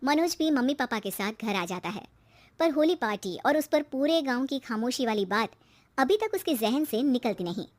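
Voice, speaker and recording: High-voiced woman narrating a cartoon story. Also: very animated, moderate pace.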